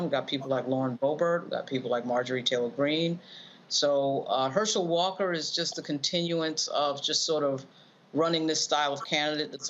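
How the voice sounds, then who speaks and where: Woman political commentator on dull audio. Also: low voice. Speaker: political commentator on a news panel. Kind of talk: off-the-cuff political analysis.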